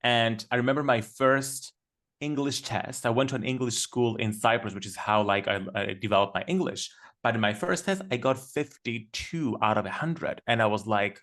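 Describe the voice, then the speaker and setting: A man's voice: mid-range voice, fairly bright tone. Enthusiastic man. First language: Greek. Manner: enthusiastic, storytelling, quick with fillers. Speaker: guest. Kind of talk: casual podcast conversation.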